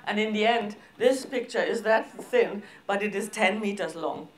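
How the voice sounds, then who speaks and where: Woman giving a slide talk, informal, lots of hesitating and broken sentences, medium pitch. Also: normal speed. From Austria. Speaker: arts organisation director presenting projects. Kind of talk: seminar presentation with slides.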